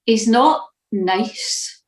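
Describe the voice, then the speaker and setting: A woman reading a scripted church devotional in a measured, reflective manner. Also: a little high, echoey room.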